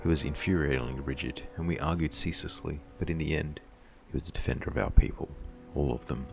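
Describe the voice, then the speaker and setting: Very deep, gravelly, weary stone fighter. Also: male voice, fairly even intonation, fast, music in the background. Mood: weary, solemn.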